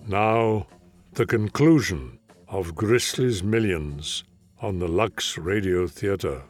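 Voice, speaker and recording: Male radio announcer, deep voice, slow scripted delivery, music underneath. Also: expressive.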